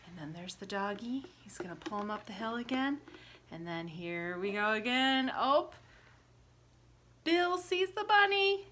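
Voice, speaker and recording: A woman teacher retelling a story with props for young children, speaking simply, asking the listener questions and acting out exclamations in an animated way. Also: fairly high pitch, a little slow, a little hiss or hum.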